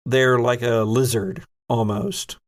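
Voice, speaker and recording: A man's voice: medium pitch, fairly even intonation, slow pace. A man running a tabletop horror game as game master, narrating in a descriptive, suspenseful way.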